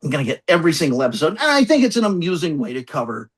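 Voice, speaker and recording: Husky man, thoughtful and halting. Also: fairly high pitch, sing-song. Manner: halting, thoughtful, circling back and repeating phrases. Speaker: guest author and essayist. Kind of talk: reflective conversational interview answers.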